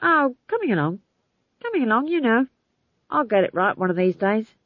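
A thin, phone-like recording of an agreeable, evasive playwright, voiced by a narrator. He sounds wistful and innocent, with a sing-song, highly varied melody and no room sound. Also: big pitch swings, a little slow, no echo.

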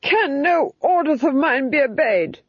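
A woman's voice: high-pitched, slow pace, dry recording, muffled recording, voice pushed up. A woman voicing a nervous, tyrannical male Duke, agitated and strained, in an audiobook. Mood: outraged. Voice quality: strained, husky. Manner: agitated, hissing and screaming. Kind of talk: audiobook character voice.

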